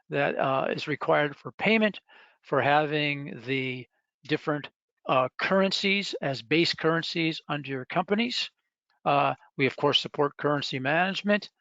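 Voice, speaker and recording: A man presenting a software product in a live webinar, explaining step by step with halting pauses. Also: fairly high pitch, dry recording. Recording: dull, lo-fi webinar audio.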